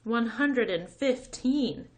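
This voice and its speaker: A woman voicing a naive, friendly schoolboy from a children's book, earnest and excitable. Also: on the high side, takes it slowly, voice pushed up. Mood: amazed. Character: naive, friendly, eager little schoolboy, proud and trusting.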